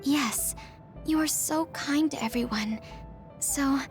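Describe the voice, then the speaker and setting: An actress voicing a gentle, timid and kind young woman, a hesitant squad member in an English-dubbed anime game. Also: high-pitched, takes it slowly. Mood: kindly. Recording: game music behind the voice.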